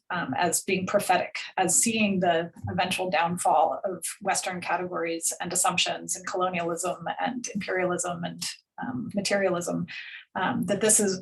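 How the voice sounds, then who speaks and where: Woman on a video call talking about a book, lowish voice, lots of ums, measured. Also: gravelly, rough recording. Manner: measured, with frequent ums and soft laughs. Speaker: panelist at a book talk. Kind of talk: academic commentary read from notes.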